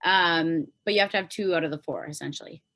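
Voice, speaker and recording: A woman's voice: low-pitched, fast, sharp tone. A woman with a Canadian accent talking casually and informally as a guest on a podcast, explaining things as she goes. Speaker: podcast guest, pop singer-songwriter.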